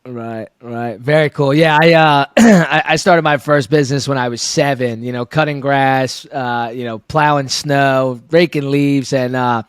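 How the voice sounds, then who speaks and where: A man's voice: mid-range voice, steady tone, measured pace, hardly any pauses, clean tone, no room sound. Chatty male host, dry close sound. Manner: friendly, affirms with "right". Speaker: podcast host. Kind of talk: casual interview hosting.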